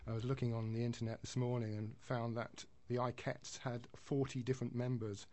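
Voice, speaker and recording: A man's voice: medium pitch, little pitch movement, fairly quick, mellow. A man who is a guest on a radio music show, introducing his track choices in a chatty, thinking-aloud way. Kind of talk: casual radio chat.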